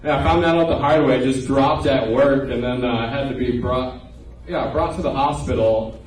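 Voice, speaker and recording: A man's voice: medium pitch, moderate pace. Man in his twenties doing stand-up. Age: 20s. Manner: loose, conversational storytelling with self-deprecating punchlines. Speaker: stand-up comedian. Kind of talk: stand-up comedy set. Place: comedy club stage before a live audience. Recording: echoey live room, distant mic, audience noise.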